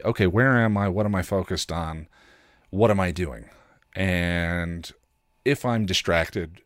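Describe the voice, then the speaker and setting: A man's voice: medium pitch, dry recording, clean studio sound. A man hosting a casual solo podcast in a rambling, self-deprecating way, often acting out his own inner dialogue. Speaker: podcast host.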